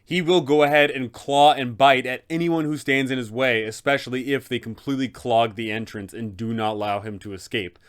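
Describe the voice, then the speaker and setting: A man hosting a tabletop game guide, narrating like a game master with theatrical, drawn-out emphasis. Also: mid-range voice, fairly even intonation, clean tone. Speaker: host, game master giving advice.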